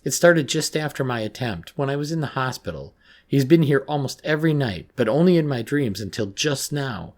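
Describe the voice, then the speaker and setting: A man's voice: on the low side, lively intonation, normal speed, hardly any pauses, breathy edge, pitched above the performer's own voice. Haunted schoolgirl, frightened and pleading, voice pushed well up by a male narrator, for a horror story. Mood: distraught, pleading. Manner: pleading, emphatic, agitated. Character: frightened, distraught teenage schoolgirl haunted by nightmares.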